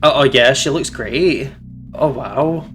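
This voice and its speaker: A man doing casual let's play commentary and reading narration aloud, expressive and playful in manner. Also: mid-range voice, slow pace, soft background music. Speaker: gaming streamer reading aloud.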